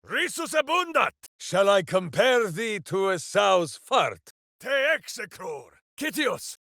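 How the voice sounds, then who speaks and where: A boisterous, simple-minded video game ranger with a hero's earnest heart. He declaims theatrically at a slow, weighty pace, and his pitch leaps and plunges dramatically. The male voice is captured very dry and close, with no room around it. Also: sing-song, bright, forceful tone, no echo, full character voice. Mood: bombastic. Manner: grandiose third-person declamation, earnest and theatrical. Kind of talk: video game character voice lines.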